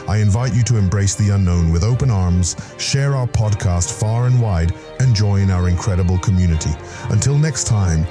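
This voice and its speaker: A man hosting his own podcast, reading a scripted promo with earnest, grandiose cosmic phrasing. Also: low voice. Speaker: podcast creator and host.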